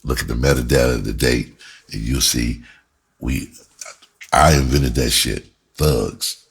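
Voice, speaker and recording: A man's voice: rumbling low, steady tone, a little slow, breathy edge. A middle-aged American man telling a personal story casually and candidly, in a rambling, slangy way with explicit language. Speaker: storyteller, writer and music producer.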